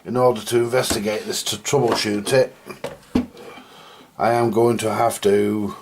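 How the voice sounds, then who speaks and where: Slow-talking man, thinking aloud. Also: on the low side, little pitch movement. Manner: rambling, thinking aloud while working. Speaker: host of a radio repair video. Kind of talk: casual hands-on repair commentary to viewers. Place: at a home workbench. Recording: clear background noise with clicks.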